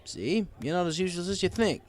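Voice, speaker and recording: A wry male pony bartender from a storybook, played by a man. He talks in a casual, consoling, teasing manner, with very lively swings of intonation. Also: high-pitched, brisk, pitched above the performer's own voice. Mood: placating. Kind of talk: audiobook character dialogue.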